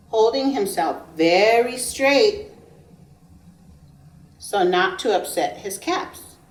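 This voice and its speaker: Casting: woman teacher, children's read-aloud, slow and emphatic delivery, medium pitch, echoey recording. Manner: emphatic, pausing to ask listeners questions. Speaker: preschool teacher. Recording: roomy echo, distant microphone.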